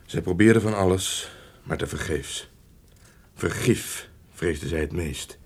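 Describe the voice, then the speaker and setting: An actor playing a loyal, honest manservant in a formal radio play, speaking in a grave, sombre and measured manner. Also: male voice, sits low, husky, full character voice. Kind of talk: Dutch radio play acting, formal narration.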